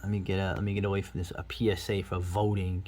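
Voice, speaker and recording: A man's voice: low voice, flat delivery, fast, a little mellow, a little hiss or hum. A middle-aged man giving casual, rambling, enthusiastic commentary as the host of a video review.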